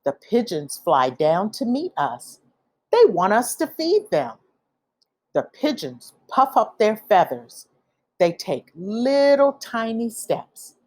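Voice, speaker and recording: Slow, lively woman reading a picture book. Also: mid-range voice. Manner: expressive, deliberate phrasing for young listeners. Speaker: read-aloud storyteller. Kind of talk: children's picture book read-aloud.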